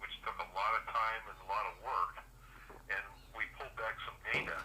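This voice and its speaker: A man's voice: on the low side, steady tone, a little fast. An American man speaking firmly on a phone call as a company security director, explaining his position. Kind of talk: phone conversation. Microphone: telephone call. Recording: noisy, distant telephone call recording.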